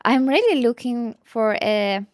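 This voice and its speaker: Slow-talking woman with a higher voice. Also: expressive, no echo. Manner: hesitant, thinking aloud. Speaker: tarot deck reviewer. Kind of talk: casual unscripted show-and-tell.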